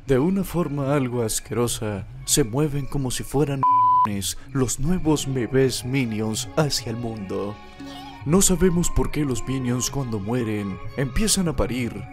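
A man with a Latin American accent narrating a parody nature documentary in a mock-solemn, sometimes crude manner. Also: medium pitch. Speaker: narrator and fandubber. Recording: music loud behind narration. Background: music.

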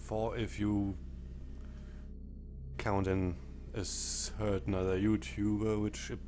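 A man whose first language is German, talking over a game menu in halting phrases that break off mid-sentence. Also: on the low side, level tone, mellow. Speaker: let's play commentator. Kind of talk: game commentary with disclaimers. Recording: faint game menu music behind.

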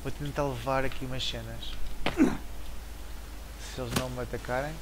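A young man with a European Portuguese accent, commentating a survival game in a headset, excitable and swearing a lot. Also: mid-range voice, a little slow, slight background noise, rough recording. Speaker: gaming streamer. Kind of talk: casual let's-play commentary.

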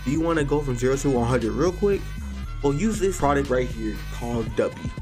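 A man's voice: mid-range voice, animated, brisk, over music. An American man chatting casually in a slangy, informal way as he hosts a prank video. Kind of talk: casual vlog chat.

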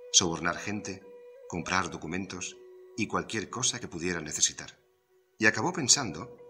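A man reading scripted narration as the storyteller of a radio drama serial. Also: sits low.

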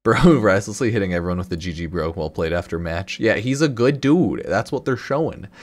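Animated low-pitched man reacting. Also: a little fast. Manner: slangy, exclamatory. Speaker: reaction video host. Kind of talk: casual excited live reaction commentary. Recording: game music behind the voice. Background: game trailer music and sound.

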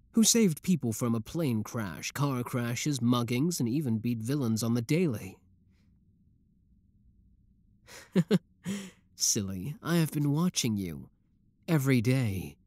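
A man's voice: medium pitch, a little mellow, dry recording, lightly acted. A man playing an obsessive, lovestruck young schoolboy villain in a roleplay audio drama, talking intimately to the listener. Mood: smug, admiring. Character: shy schoolboy turned smug, obsessive yandere young villain.

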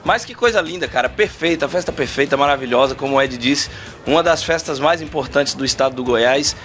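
A man's voice: medium pitch, a little fast, fairly bright tone, a little hiss or hum. A man, a singer, joking exuberantly in a backstage interview. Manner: jokey, exuberant. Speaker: singer in a country duo, interviewed.

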